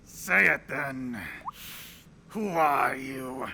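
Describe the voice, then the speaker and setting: A man voicing a cunning, mad male super mutant prisoner in a fan audio drama, taunting his captors with slow, gravelly mockery. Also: mid-range voice, background noise. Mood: gloating.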